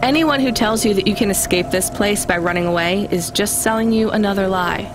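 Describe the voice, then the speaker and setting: A woman's voice: medium pitch, brisk, close to an everyday voice. Woman voicing tired young delivery girl in a game, dry n guarded but caring, music playing behind her. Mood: bleak, weary. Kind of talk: video game voice acting.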